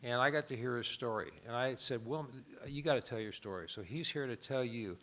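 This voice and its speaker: A man's voice: mid-range voice, a little fast, fairly bright tone, a little hiss or hum. A man hosting the group, introducing a guest speaker in a friendly, conversational way. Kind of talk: casual introduction.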